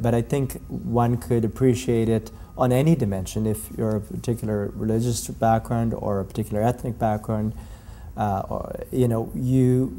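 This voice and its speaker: A man's voice: on the low side, dark tone. A man in his forties, a lawyer from Canada, giving a thoughtful interview answer and often repeating words as he searches for the right phrasing.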